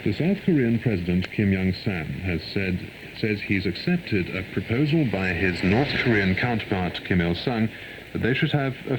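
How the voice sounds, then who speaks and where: A man reads a formal, measured world news bulletin, heard over shortwave radio. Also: lowish voice. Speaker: radio newsreader. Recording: noisy 1990s shortwave radio reception.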